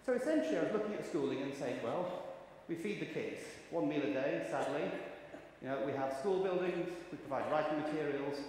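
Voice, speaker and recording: A man's voice: fairly high pitch, animated, a little fast, a little mellow. A man in his fifties giving a conference keynote, chatty and wry, telling anecdotes to the audience. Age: 50s. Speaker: keynote speaker. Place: large conference hall. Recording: echoey hall, faint ambient noise.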